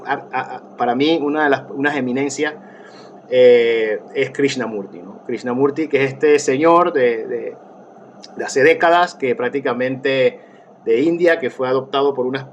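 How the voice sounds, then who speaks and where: A man, pitched in the middle, musing aloud in a relaxed interview. He circles his ideas with restarts and fillers. A faint hiss of noise sits under his voice. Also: highs cut off. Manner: rambling, many restarts and fillers, reflective. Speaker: interview guest, therapist. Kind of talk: casual conversational interview.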